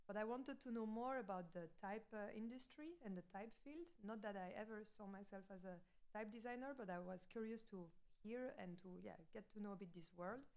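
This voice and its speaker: A woman's voice: mid-range voice, a little fast, a little mellow, rough recording. Swiss french woman, 30s, giving a talk, french is her first language, slightly nervous, lots of ums. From French-speaking Switzerland. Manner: a little nervous, hesitant with fillers. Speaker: guest lecturer, graphic designer. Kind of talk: lecture.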